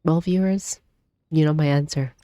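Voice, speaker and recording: A woman streaming a game, with a slightly low voice. She reads dialogue aloud in a casual way, sometimes tripping over words, and the sound is close and dry. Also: fairly even intonation, normal speed, warm tone, smooth, no room sound.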